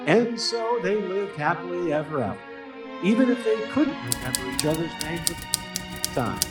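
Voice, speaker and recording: Old time radio announcer guy, highish lively voice, bit far off, loud music behind. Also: normal speed. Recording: distant voice under loud music.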